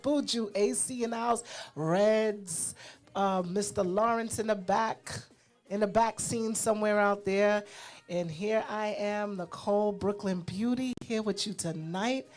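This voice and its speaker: A woman co-hosting a radio show in casual, chatty style, repeating words and adding informal asides. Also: a little high. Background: music.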